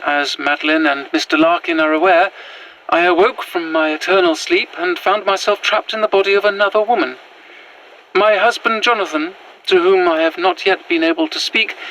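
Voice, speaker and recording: Audio drama: woman plays revived Victorian lady, formal antique diction, bright voice, noisy field recording. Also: on the low side, close to an everyday voice. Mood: measured, formal. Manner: formal, old-fashioned, prim diction. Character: prim Victorian widow, a revived spirit in another's body. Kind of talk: found-footage audio drama monologue.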